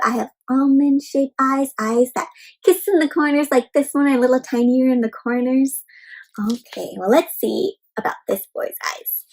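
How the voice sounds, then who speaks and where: A woman's voice: high-pitched, darkish tone, poor audio. A woman hosting an interactive storybook read-aloud for young children, often putting questions to her listeners and addressing them as friends. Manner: asks the listeners frequent questions.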